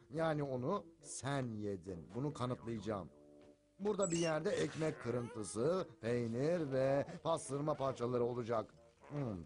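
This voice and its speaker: A man's voice: mid-range voice, a little mellow, natural voice. Man voicing a cocky cartoon cat over music. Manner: wry, self-assured, theatrical. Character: cocky, sarcastic, food-loving male cartoon cat. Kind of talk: animated cartoon dub. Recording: background music under the voice.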